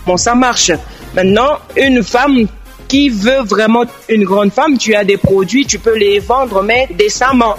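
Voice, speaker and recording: A woman's voice: on the high side, a little slow, faint music behind. A woman giving her opinion earnestly as a guest in a radio discussion.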